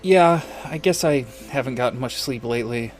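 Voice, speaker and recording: A man's voice: mid-range voice, normal speed, soft background music, lightly acted. An actor playing an anxious, rattled man, dazed and fretful as he narrates his troubles in an audio drama. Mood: sheepish, distracted. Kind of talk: first-person audio drama narration and dialogue.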